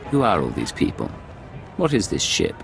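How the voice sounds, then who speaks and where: Very deep, gravelly secret agent. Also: male voice, wildly swooping pitch, normal speed, heavily acted. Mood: dry, probing. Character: cool, unflappable male Imperial secret agent. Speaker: player character in a sci-fi game. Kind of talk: dramatic game voice acting. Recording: dramatic music behind.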